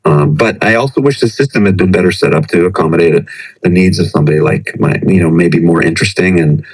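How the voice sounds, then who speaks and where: A middle-aged man with a very low, deep voice speaks as a guest on a podcast. He talks in a thoughtful, searching way, full of fillers and restarted phrases as he reflects on teaching. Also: fast, slightly rough, low-quality recording. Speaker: professor, English teacher in Japan. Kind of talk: conversational podcast interview.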